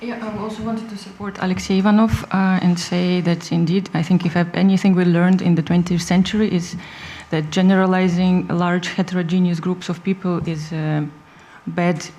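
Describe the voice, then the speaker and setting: A woman's voice: lowish voice, flat delivery, moderate pace, hardly any pauses, dark tone. A woman from Russia speaking earnestly as a panelist. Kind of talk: panel discussion.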